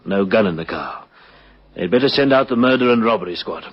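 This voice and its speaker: An actor playing an experienced police sergeant in a radio drama, measured, matter-of-fact and authoritative. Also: male voice, on the low side, animated. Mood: grim, matter-of-fact. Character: experienced, wary male police patrol sergeant. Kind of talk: vintage radio drama acting. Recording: dull old radio recording, faint noise.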